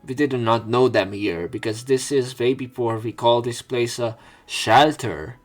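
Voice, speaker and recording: A man's voice: medium pitch, steady tone, a little slow, soft background music. A man playing through a visual novel, reading its narration aloud and adding casual, informal commentary of his own. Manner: informal, swearing in reactions. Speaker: let's player.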